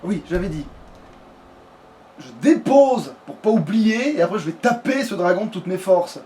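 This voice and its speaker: A man's voice: high voice, expressive, normal speed, dark tone, distant mic, slight background noise. A man chatting casually through a video game let's play, grumbling and swearing in crude slang. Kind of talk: casual gameplay chat.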